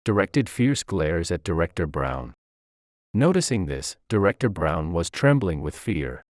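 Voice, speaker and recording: A man's voice: deep voice, darkish tone, no room sound, studio quality. A man reading a scripted first-person story as narrator.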